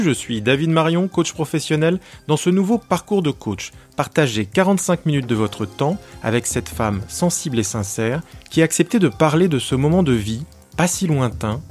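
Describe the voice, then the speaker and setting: A man with a mid-range voice hosts a coaching podcast, faint music under him. He reads a scripted intro and interviews his guest in a clear, polished presenter's manner. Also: mid-range voice, darkish tone.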